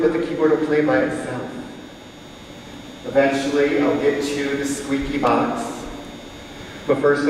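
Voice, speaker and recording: A chatty man talks slowly and easily to an audience between his songs. He is recorded from far off in an echoing hall, with soft instrument music behind him. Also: medium pitch, a little hiss or hum. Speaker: singer and composer. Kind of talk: live concert talk and singing. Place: performance hall. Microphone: room mic at a distance.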